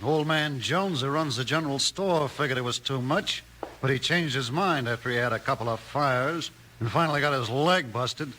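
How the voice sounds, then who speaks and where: An actor playing a blunt, threatening, sneering thug in a radio western. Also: male voice, medium pitch, normal speed, pushed and bright, lightly acted, rough recording. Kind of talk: 1950s radio western acting. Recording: hissy 1950s radio recording.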